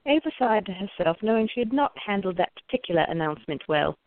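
A woman's voice: mid-range voice, expressive, fast talker, dry recording, narrow, tinny audio. A woman narrating a scripted radio play as a storyteller.

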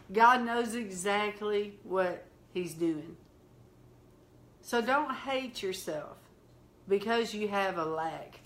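Slow-speaking Southern woman minister. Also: mid-range voice, bright, forceful tone. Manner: slow, heartfelt preaching delivery. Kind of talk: testimony-style storytelling in conversation.

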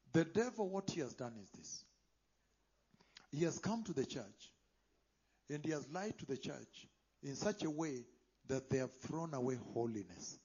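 A man preaching a sermon, emphatic, moving between loud declarations and hushed whispers. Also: mid-range voice, husky. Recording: dull lo-fi sound.

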